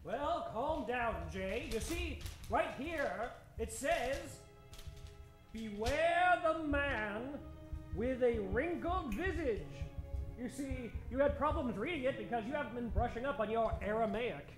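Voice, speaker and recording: A man's voice: very high-pitched, animated, slow pace, heavily acted. Man playing theatrical scholarly adventurer in echoey hall. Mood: musing, instructive. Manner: theatrical, musing, instructive. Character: learned, mysterious male adventurer-scientist, mentor to young ward. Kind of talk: old-time radio serial acting. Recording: echoey live stage, music behind.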